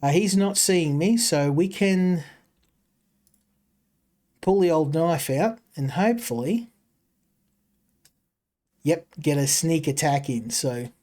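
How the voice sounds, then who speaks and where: A man with a broad Australian accent narrates his game as he plays. His voice sits a little high for a man, and he rambles and circles back over words while thinking aloud. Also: animated, slow pace, long pauses, darkish tone. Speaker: game streamer. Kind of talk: casual gameplay commentary.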